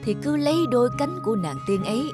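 Woman playing old immortal man, benevolent, calm, instructive, cartoon fairy tale, music underneath. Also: on the high side, quick pace, natural voice. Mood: calm, helpful. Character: benevolent old male sky spirit, calm and wise. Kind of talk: animated fairy tale acting. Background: prominent music.

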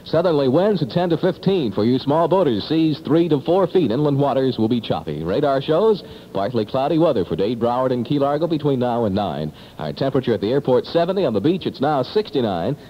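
A man's voice: medium pitch, big pitch swings, fairly quick, few pauses, a little hiss or hum. A man working as a playful, showy radio disc jockey, talking in 1960s top-40 patter on an old AM broadcast. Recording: dull 1960s AM radio aircheck.

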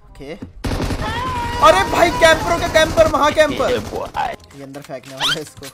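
A young man giving casual, animated gameplay commentary full of slangy, teasing exclamations. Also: very high-pitched, a little slow, heavy background noise. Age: young adult. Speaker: gaming YouTuber host. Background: music.

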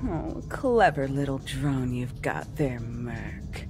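An actress playing a smug, menacing symbiote villain in a video game, with a taunting, drawling delivery. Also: female voice, a bass voice, animated, slow, over music, heavily acted. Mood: mocking menace.

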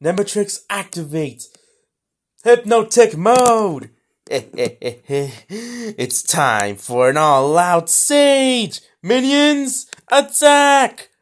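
A man doing a theatrical cartoon supervillain with wild pitch swings. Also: animated, heavily acted. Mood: commanding, furious. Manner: melodramatic, taunting, drawn-out exclamations. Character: gloating, theatrical male cartoon supervillain inventor, vengeful and hot-tempered. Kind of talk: amateur cartoon villain voicelines.

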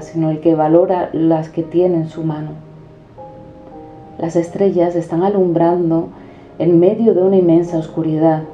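A woman declaiming poetic prose in a solemn, measured recitation. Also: on the low side, mellow. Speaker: poetry reciter. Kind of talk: declaimed spoken-word poetry. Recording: dull reverberant recording over music. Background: background music.

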